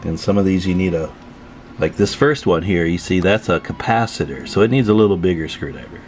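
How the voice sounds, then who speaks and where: A man's voice: sits low, a little fast, a little mellow, faint music behind. A man narrating a hands-on repair tutorial in a casual, folksy way as he works. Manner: folksy, informal, talking while working. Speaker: radio repair tutorial host.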